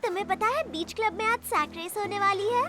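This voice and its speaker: A woman's voice: very high-pitched, fast talker, over music. A woman voicing a keen, assertive little girl in a children's cartoon, childlike and matter-of-fact. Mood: friendly. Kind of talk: Hindi-dubbed children's cartoon acting.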